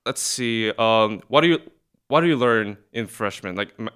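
A man's voice: fairly low pitch, normal speed, a bit bright, clear, resonant tone. A young man from South Korea, a guest chatting casually, hesitant with many restarts. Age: young adult. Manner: hesitant, many restarts and fillers, laughs often. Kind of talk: casual chat.

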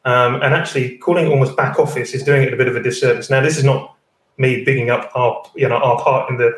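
A man's voice: medium pitch, fairly even intonation, quick pace. A man hosting a casual webinar, rambling with lots of fillers and "kind of" hedges. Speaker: stand-in host. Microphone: video call. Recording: roomy sound, distant from the mic.

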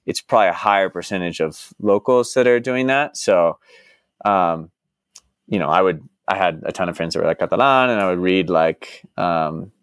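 Low-ish guy from dallas, chatty and rambly, says like a ton, dry close sound. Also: normal speed, clear, resonant tone, no echo. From United States (Dallas). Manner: rambling, heavy use of "like" and restarts. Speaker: interview guest. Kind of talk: casual conversation.

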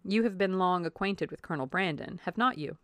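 A woman playing a polite, reserved young gentlewoman in a classic novel reading, with measured and courteous speech. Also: fairly low pitch, dry recording, lightly acted. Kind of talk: classic novel audiobook dialogue.

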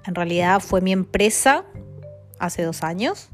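Lowish chatty Argentine woman podcaster. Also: animated, measured pace, faint music behind. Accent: Argentine Spanish. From Argentina, Mendoza. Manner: chatty, many fillers and tag questions. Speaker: podcast host. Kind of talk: casual podcast monologue.

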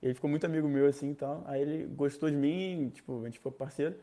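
A young man from Rio de Janeiro with a Carioca accent, casually telling a personal story, animated and slangy, acting out other people's lines. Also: on the high side, quick pace. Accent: Carioca Brazilian Portuguese. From Rio de Janeiro, Brazil.

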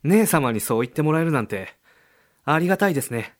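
A man's voice: a little high, lively intonation, quick pace, lightly acted. A man playing a polite, secretly scheming young noble brother in a voice drama, formal and courteous. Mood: calm. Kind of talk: voice drama acting. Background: background music.

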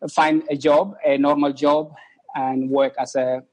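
Halting man telling his story. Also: mid-range voice, flat delivery, darkish tone, low-quality recording. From East Timor. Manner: halting, many restarts and repeated words. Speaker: guest. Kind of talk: casual interview.

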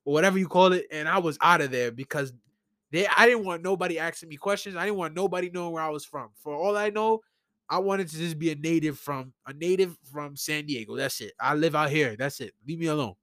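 A man with a Brooklyn accent and a slightly high voice talks solo in a casual, slangy way. He rants and tells stories with animation, like a podcast host chatting to listeners. The sound is close and dry. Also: brisk, no echo. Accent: Brooklyn New York. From New York City (Brooklyn). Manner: animated, informal, slangy, acts out conversations. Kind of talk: casual solo rant and storytelling.

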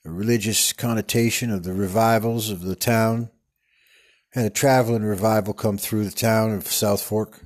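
A man's voice: lowish voice, steady tone, normal speed, lightly acted. A man doing a rambling old Texan cowboy actor. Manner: meandering, digressive storytelling with many stumbles. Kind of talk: improvised comedic character monologue. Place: studio.

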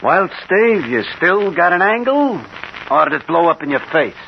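A man's voice: fairly high pitch, sing-song, normal speed, gravelly. An actor playing a cynical male gangster with a sarcastic, slangy, blunt way of talking in an old-time radio crime drama. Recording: noisy, dull old radio recording.

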